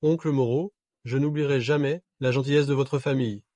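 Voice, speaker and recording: An actor dubbing a calm, composed young husband who teases his wife. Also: mid-range voice, brisk, no room sound, lightly acted. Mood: cold. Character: calm, secretly rich young husband, teasing.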